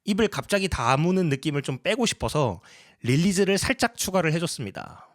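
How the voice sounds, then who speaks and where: Lively-pitched man explaining his process. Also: dry recording, clean studio sound. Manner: polite, step-by-step explaining. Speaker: sound designer presenting a tutorial. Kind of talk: casual explanatory tutorial narration.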